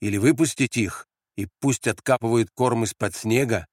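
A man's voice: medium pitch, moderate pace, a little mellow, no echo, clean studio sound, lightly acted. A man voicing a weary, restrained foreign soldier in an audiobook, with an ironic manner. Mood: wry, dismissive.

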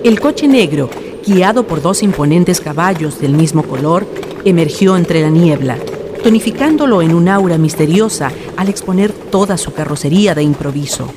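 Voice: mid-range voice, expressive, normal speed. A narrator reading a scripted radio drama in a solemn, literary storytelling manner. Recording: noisy ambient radio drama recording.

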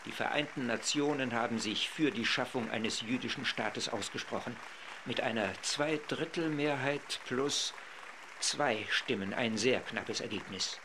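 A man's voice: medium pitch, measured pace, lightly acted. An actor playing a male radio reporter giving live commentary from a 1947 vote in a radio play. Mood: excited. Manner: steady commentary, swelling to excited announcing. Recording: crowd and noise behind. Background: assembly hall crowd murmur.

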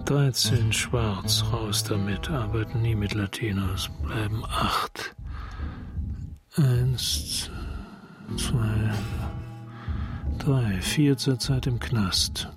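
An actor playing a hard, threatening police sergeant in a German radio crime drama, speaking curtly and deliberately. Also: male voice, on the low side, over music. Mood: curt, businesslike. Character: hard, menacing male police sergeant leaning on informants.

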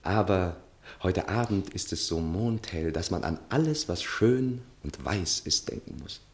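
A man's voice: sits low, lively intonation, unhurried. A man acting in a 1960s German radio play as a tipsy, infatuated young journalist, with theatrical, ardent and sometimes stammering delivery. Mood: ardent, pleading.

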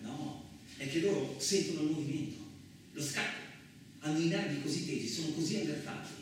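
A very reverberant, noisy recording, picked up from a distance, carries a male actor as a seasoned truck driver. He tells his tale like theatre, rough and talkative, rambling along with colloquial asides and rhetorical questions. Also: fairly high pitch, lightly acted. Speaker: actor performing a radio theatre monologue. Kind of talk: colloquial theatrical monologue, storytelling.

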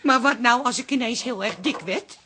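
An actress playing a bossy, sharp-tongued middle-aged wife in a comic radio drama, scolding and commanding. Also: female voice, on the high side, normal speed, slight background noise. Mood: insecure.

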